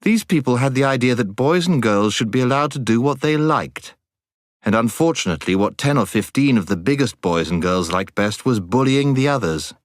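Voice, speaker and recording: A British man narrating a storybook as a scripted audiobook, in an expressive storytelling manner. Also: medium pitch, moderate pace, no room sound, clean studio sound.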